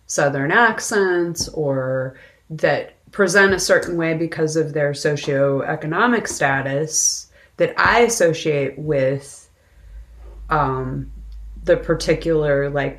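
A woman co-hosting a film podcast in casual conversation, reflective and often searching for her words. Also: deep voice. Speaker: podcast co-host discussing films.

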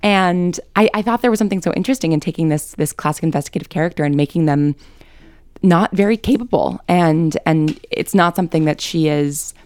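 Female interview guest, deep and clear voice, dry close sound, articulate and analytical. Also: brisk, a little mellow, no echo. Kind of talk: thoughtful interview conversation.

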